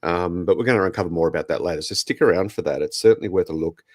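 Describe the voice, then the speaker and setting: An Australian man with a croaky voice, casually hosting a podcast. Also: deep voice, very fast, no room sound, studio quality. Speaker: podcast host.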